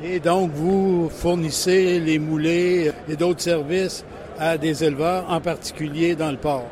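A man's voice: a little high, fairly even intonation, unhurried, darkish tone, slight background noise. A man with a Quebec French accent, a reporter narrating and asking friendly questions in a news interview.

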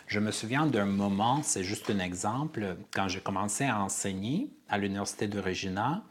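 Deep-voiced man speaking earnestly. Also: animated, moderate pace, a little hiss or hum. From Armenia. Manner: earnest, searching for words. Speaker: playwright and director, guest. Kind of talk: interview conversation.

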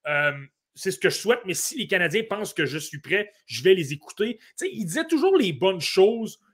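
A man with a Québécois accent co-hosting a sports podcast, giving animated analysis with frequent fillers and restarts. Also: high-pitched, brisk, breathy edge. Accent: Québécois French. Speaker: podcast co-host. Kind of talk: casual sports podcast talk.

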